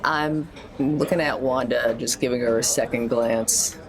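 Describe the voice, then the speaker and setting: A woman's voice: very deep voice, fairly even intonation, unhurried, crowd noise, rough recording. A woman playing a tabletop game, talking casually in a rambling, jokey, slightly hesitant way. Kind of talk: casual in-character and descriptive game chat.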